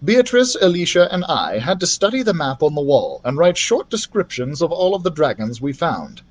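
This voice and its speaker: A man reads a children's fantasy tale aloud in a fairly high male voice. His delivery is very lively and varied, the expressive style of a storyteller who acts out the characters. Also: normal speed. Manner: animated, acts out the characters' dialogue. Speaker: library storyteller reading a children's novel aloud.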